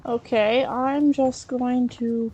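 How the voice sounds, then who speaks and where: A woman's voice: on the high side, fairly even intonation, takes it slowly, dark tone, husky, low-quality recording. A woman doing an improvised in-character let's play, chatty and hesitant as she thinks aloud. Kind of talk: in-character improvised gameplay roleplay and commentary.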